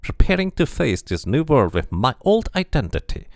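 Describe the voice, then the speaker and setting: A man's voice: medium pitch, sing-song, normal speed, dry recording, clean studio sound, voice pushed up. A man voicing a solemn stranger who has just regained his name, speaking in a formal, earnest way while reading a game aloud. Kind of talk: amateur game voice acting.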